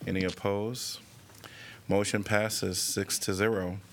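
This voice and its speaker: A man chairing a city council meeting, reading agenda items and calling votes in a measured, procedural way. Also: on the low side, little pitch movement, a bit bright, a little hiss or hum.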